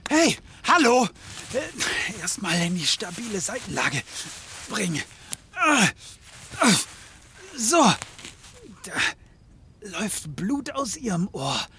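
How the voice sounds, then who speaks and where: A grumpy, animated detective. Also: male voice, sits high, takes it slowly, pauses often, slight background noise, exaggerated voice. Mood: alarmed, urgent. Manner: muttering, stammering, sardonic. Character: tipsy, grumpy male private detective and ex-cop, late thirties. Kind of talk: comedic German audio drama acting.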